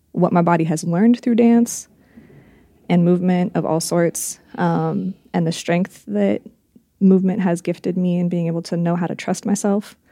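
Gravelly, mellow-voiced woman podcast host. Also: on the low side, dry recording, clean studio sound. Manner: reflective, searching for words, frequent "um" and "like". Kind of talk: casual conversational podcast chat.

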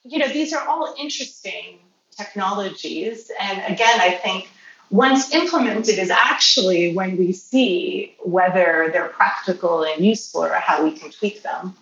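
A woman who grew up speaking Polish, answering interview questions as a healthcare professional in a measured, explanatory way. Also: medium pitch, a bit bright, muffled recording. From Poland or Polish-speaking family. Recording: roomy, distant mic, faint noise.

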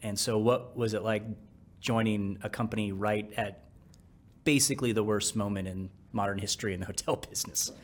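A middle-aged man hosting a podcast, asking questions in a relaxed, conversational way. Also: lowish voice, brisk. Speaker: podcast host. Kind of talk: casual interview hosting.

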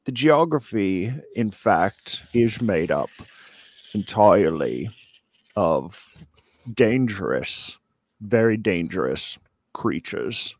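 Very deep-voiced man, halting deadpan presenter. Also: vocal fry, no echo. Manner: putting on a joke Australian accent. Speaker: comic presenter giving a slideshow talk. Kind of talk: mock lecture, improvised comedy presentation.

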